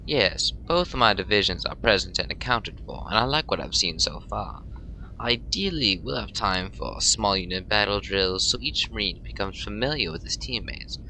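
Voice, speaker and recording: A man's voice: on the low side, animated, normal speed, bright, forceful tone, full character voice. A man playing a confident, no-nonsense marine captain in a science-fiction audio drama, giving a military briefing. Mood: confident, businesslike. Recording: faint noisy ship ambience behind voice. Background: faint ambience.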